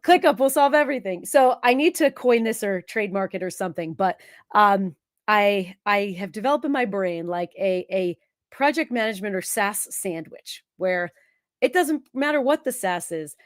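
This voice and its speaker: A woman fighting a cold hosts a live question-and-answer stream, sniffling now and then. She talks in a chatty, self-interrupting way, often chiming in with quick agreement. Her voice sits at a middle pitch in a dry, close recording. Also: expressive, no echo. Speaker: host, productivity consultant.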